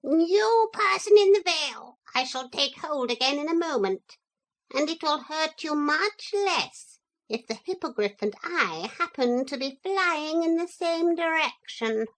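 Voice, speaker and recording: A woman's voice: high voice, expressive, unhurried, a bit bright, pitched above the performer's own voice, poor audio. Woman doing a polite, menacing parrot. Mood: courteous. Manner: polite, formal, coolly threatening. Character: proud, courteous male parrot with a sharp beak.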